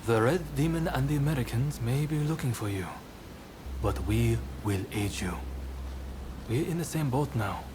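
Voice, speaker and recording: A man's voice: medium pitch, normal speed, lightly acted. Man playing an earnest samurai rebel, noisy backdrop. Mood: firm, resolute. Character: earnest, level-headed samurai rebel, resolute and courteous. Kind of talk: video game cutscene acting.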